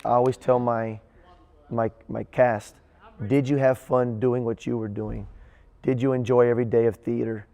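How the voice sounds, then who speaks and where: A man speaking as a theater director in an interview, earnest and halting, often repeating words as he thinks. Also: mid-range voice, flat delivery, vocal fry, warm tone, in a large hall. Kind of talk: interview reflection.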